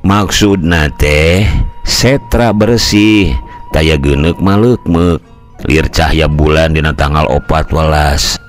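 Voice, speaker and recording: A man's voice: extremely low pitch, soft background music, voice pushed down. A man voicing a pious old village uncle who explains things patiently and reflectively in a Sundanese storytelling recording. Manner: slow, sage-like explaining with proverbs.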